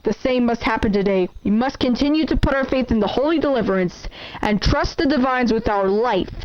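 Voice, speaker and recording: Devout preacher, female actor, slightly high, formal and exhorting sermon delivery, lo-fi horror broadcast sound. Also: fairly quick, a little mellow, natural voice. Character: solemn devout preacher addressing a troubled flock. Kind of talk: scripted sermon in analog horror drama. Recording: dull, noisy lo-fi broadcast sound.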